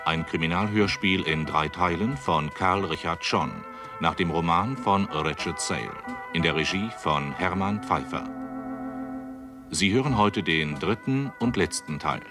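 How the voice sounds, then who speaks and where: A man's voice: low voice, unhurried. A man giving a formal scripted announcement as a radio announcer. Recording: music behind the announcement. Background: music.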